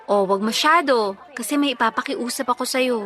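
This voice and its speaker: An actress playing a teasing but sensible young college sweetheart in a radio drama, pert and pressing. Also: female voice, a little high, normal speed, fairly bright tone, natural voice. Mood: earnest, secretive. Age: young adult. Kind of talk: Tagalog radio drama acting.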